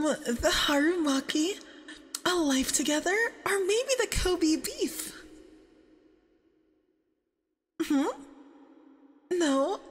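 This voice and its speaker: A very high-pitched, nervous femboy boyfriend played by a woman in an ASMR roleplay. He is sweetly doting and halting, with a clingy, obsessive streak. The voice is doubled and sits in a long reverb. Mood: nervous, hopeful. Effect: doubled audio with added reverb.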